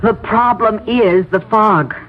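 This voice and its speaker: An actress playing an anxious, fretting wife in a 1970s radio drama. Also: female voice, medium pitch, takes it slowly, highs cut off, natural voice. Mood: worried. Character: worried, anxious wife fretting over a missing guest. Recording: thin band-limited old radio, faint noise.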